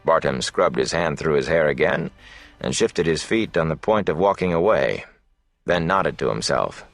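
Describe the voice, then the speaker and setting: A man's voice: a bass voice, expressive, moderate pace, vocal fry, no echo, soft background music. A man reading scripted fantasy fiction as an audiobook narrator.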